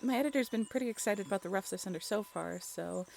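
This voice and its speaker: A woman acting a bashful, affectionate young writer on a date in an intimate audio roleplay, halting and playfully teasing. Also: mid-range voice, vocal fry, darkish tone, a little hiss or hum, lightly acted. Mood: shyly pleased.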